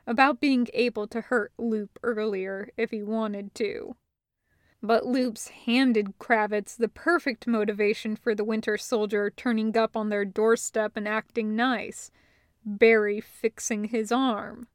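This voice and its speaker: Female audiobook narrator, slightly high-pitched, measured and unhurried, with a dry, close sound. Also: no echo. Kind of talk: scripted fiction narration, measured.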